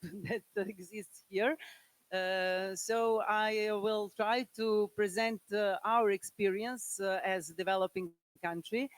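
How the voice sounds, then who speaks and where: Serbian-accented woman, halting conference speaker. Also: medium pitch, poor audio. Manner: halting, searching for words in a second language. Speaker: university professor on a conference panel. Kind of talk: conference panel presentation.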